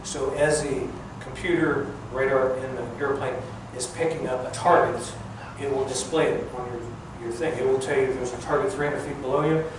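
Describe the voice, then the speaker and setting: An older man, a former air traffic controller, gives an informal talk in a plain-spoken, halting, folksy way. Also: mid-range voice, steady tone. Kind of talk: informal public talk recounting career. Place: large echoey museum room. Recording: echoey hall, distant mic, clear background noise.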